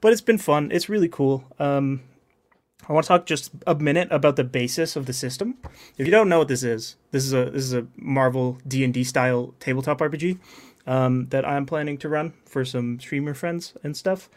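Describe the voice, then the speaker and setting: Male host, mid-pitched, upbeat and enthusiastic, loose conversational delivery. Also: brisk. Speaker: podcast host and game master. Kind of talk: casual chat.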